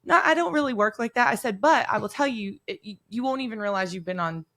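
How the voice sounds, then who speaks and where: A woman hosting a podcast interview, relaxed and conversational, telling stories with quoted dialogue. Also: a little high, fast talker. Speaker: podcast host. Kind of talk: casual interview chat.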